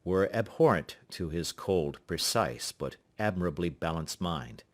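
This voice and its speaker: A man narrating a literary audiobook in a measured, formal delivery. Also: low voice, lively intonation.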